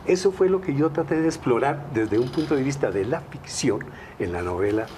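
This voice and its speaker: A man's voice: mid-range voice, expressive, moderate pace, darkish tone, slight background noise. A man, a novelist, speaking emphatically on a studio panel, stacking words in rhythmic series. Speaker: novelist guest.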